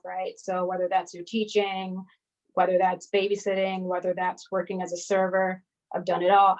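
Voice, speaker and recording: A woman's voice: mid-range voice, low-quality recording. A woman from Barbados, giving an informal talk as an invited speaker, with fillers and restarts. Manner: conversational, with fillers and self-corrections. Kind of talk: personal talk at a live-streamed arts event.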